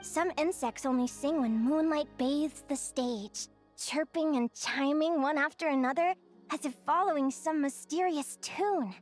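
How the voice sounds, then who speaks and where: A woman playing a cheerful, curious young adventurer and naturalist in a video game, speaking in a bubbly, earnest and expressive way. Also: high voice. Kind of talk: animated video game character acting.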